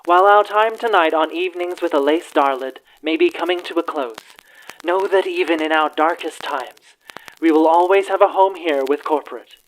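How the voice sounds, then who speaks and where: A performer playing an earnest radio news anchor in a radio play, with formal, measured, theatrical announcer phrasing. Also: mid-range voice, a little hiss or hum, close to an everyday voice, rough recording. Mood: solemn, reassuring. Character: earnest troll radio news anchor hosting an evening broadcast.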